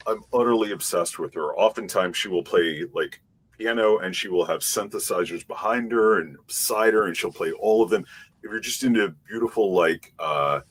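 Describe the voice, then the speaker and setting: A man's voice: on the low side, normal speed, slightly rough. A male guest on an audio roundtable, talking animatedly and gushing about a record he loves. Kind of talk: casual roundtable chat.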